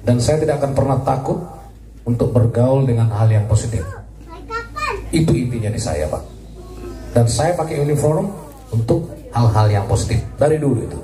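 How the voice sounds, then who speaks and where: A man, a military officer, giving a joking, lively speech at a community gathering. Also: mid-range voice, normal speed, long pauses. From Indonesia. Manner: jokey and lively, laughs at his own jokes. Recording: echoey, distant, crowd noise.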